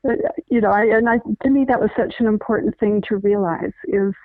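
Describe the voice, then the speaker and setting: A woman's voice: mid-range voice, a little fast, dry recording. Woman guest, middle-aged, earnest explainer with many restarts; dry phone-line sound. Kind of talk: conversational interview, explaining.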